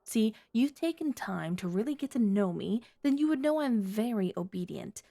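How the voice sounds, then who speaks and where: A woman's voice: medium pitch, lively intonation, normal speed, vocal fry, warm tone. A woman playing a flirty, scheming underworld informant in an ASMR audio drama, with a teasing, coy, mock-sweet delivery. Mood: sly, teasing.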